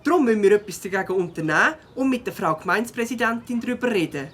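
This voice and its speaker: A woman's voice: mid-range voice, lively intonation, fast talker, slightly rough. A woman voicing a young male beaver, caring and determined, in a children's audio drama, earnest and Swiss-accented.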